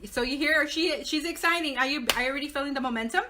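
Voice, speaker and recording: A woman's voice: sits high, quick pace, background noise. A woman hosting a casual live online interview show, talking in an upbeat, encouraging way. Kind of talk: casual live interview, reading out a guest bio.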